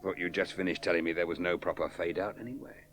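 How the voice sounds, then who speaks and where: A man's voice: deep voice, steady tone, fast, over music, lightly acted. An actor playing a wry, laconic male aviator with a drinking habit in a radio play. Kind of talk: 1970s radio drama acting.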